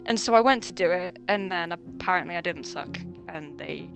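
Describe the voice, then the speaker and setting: A woman's voice: on the low side, moderate pace, over music. Chatty woman telling stories. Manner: chatty storytelling with frequent swearing and nervous laughs. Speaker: voice actress guest. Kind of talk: casual chat.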